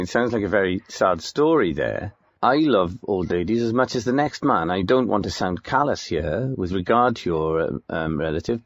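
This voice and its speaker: An actor playing a pompous food poisoning expert on a radio phone-in, formal, hesitant and stiffly sympathetic. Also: male voice, on the low side, no room sound. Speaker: phone-in studio guest expert.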